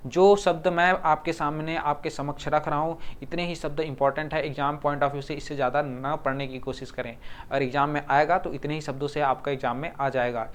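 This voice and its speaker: This is a man teaching exam-prep grammar in Hindi with a Chhattisgarh accent. His voice is clear and resonant and a touch higher than most men's. He repeats each word over and over and keeps asking whether everyone follows. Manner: repeats words and asks the class to confirm.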